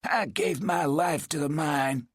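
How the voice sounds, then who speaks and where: A man voicing a bitter, paranoid old laid-off miner who rants in a gruff, folksy drawl. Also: a little high, slow, no room sound, clean studio sound, voice pushed up. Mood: aggrieved. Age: older. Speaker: story character. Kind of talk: audiobook character voice.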